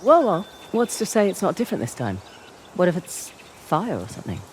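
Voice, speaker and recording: A woman's voice: lowish voice, very animated, normal speed, a little mellow, slight background noise. An actress playing a wry, teasing woman treasure hunter with an Australian accent in a video game, speaking casually and naturally.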